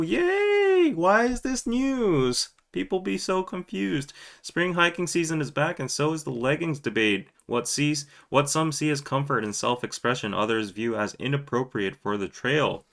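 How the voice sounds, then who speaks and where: A man with a Korean accent hosting a live podcast, talking casually in a rambling, animated way with loud emphatic bursts and quick laughs. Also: medium pitch. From South Korea. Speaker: podcast host. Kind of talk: casual live-stream chat.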